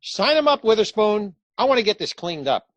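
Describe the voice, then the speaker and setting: Man playing a gruff, animated police lieutenant. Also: high voice, fairly quick. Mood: impatient. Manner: gruff, incredulous, no-nonsense. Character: brusque, impatient Brooklyn police lieutenant. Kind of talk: stage comedy acting for radio theatre.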